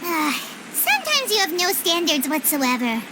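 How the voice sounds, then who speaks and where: A woman voicing a tiny, excitable, blunt childlike fairy companion in a video game cutscene. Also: normal speed, very noisy. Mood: questioning, blunt. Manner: squeaky, exclaiming, speaks of self in third person.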